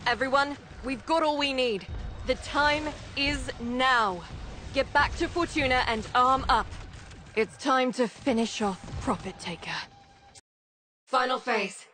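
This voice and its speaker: A woman's voice: high voice, slow pace, bright, forceful tone, full character voice. An actress voicing a determined woman who leads a rebel operation in a video game, giving orders with an authoritative briefing manner. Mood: resolute. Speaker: mission commander. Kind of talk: video game character acting.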